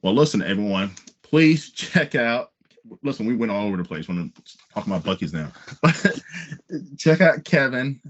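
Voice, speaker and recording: A man's voice: mid-range voice, lively intonation, normal speed, muffled recording. An American man hosting a casual interview, speaking with enthusiasm and repeating phrases for emphasis. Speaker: podcast host interviewer.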